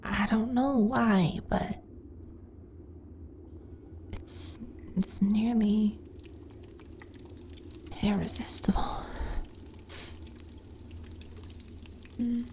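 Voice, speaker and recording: Woman acting a slow, breathy slime monster girl. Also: mid-range voice, steady tone, dark tone, a little hiss or hum. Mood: hushed, shy. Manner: breathy, hesitant stammering, drifting into whispers. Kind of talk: intimate roleplay audio acting.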